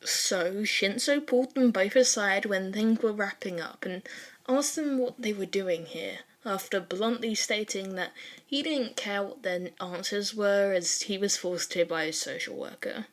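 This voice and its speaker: A woman with a mid-pitched voice narrates fan fiction like a homemade audiobook. Her reading is expressive and informal, with casual swearing. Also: a little slow.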